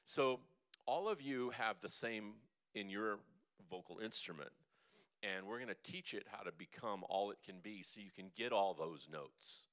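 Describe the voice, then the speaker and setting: A man's voice: lowish voice, normal speed, fairly bright tone. A man teaching a vocal seminar, chatty and jokey, with playful asides to the room. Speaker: vocal coach.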